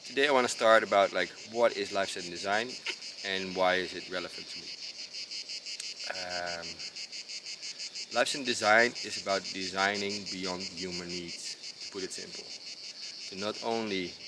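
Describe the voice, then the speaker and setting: Dutch man, deep low voice, casual hesitant explainer, clear crickets and insects in the background. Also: steady tone, normal speed, noisy background. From Netherlands. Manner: hesitant, with many fillers and restarts. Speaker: designer explaining his approach. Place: outdoors in rural mountains.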